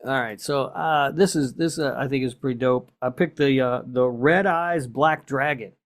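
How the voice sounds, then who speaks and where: A man's voice: mid-range voice, moderate pace. A man co-hosting a podcast, talking casually in a blunt, laid-back, foul-mouthed way. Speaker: podcast host. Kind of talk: casual chat.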